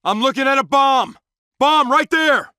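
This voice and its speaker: A man's voice: very high-pitched, a little slow, bright, forceful tone, dry recording, clean studio sound, full character voice. A man voicing a tense, urgent SWAT police officer in a video game, barking terse, clipped combat callouts. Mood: alert.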